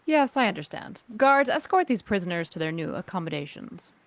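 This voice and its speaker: A woman playing a cool, suspicious crime syndicate boss, speaking formally and with measured skepticism in a sci-fi table read. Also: on the low side, big pitch swings, no echo. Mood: coolly formal.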